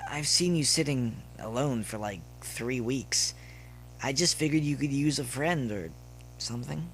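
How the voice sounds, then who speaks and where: A man's voice: mid-range voice, a little slow, a bit bright, a little hiss or hum, voice pushed up, poor audio. A man voicing a friendly, upbeat young male student pony in a story reading, casual and inquisitive. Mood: earnest. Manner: casual, inquisitive, slightly hesitant.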